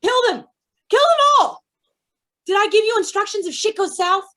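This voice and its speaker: Woman playing a high-pitched, volatile villainess. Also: lively intonation, normal speed, sharp tone, full character voice. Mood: enraged. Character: ruthless, bitter female crime boss villain. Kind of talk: staged play reading.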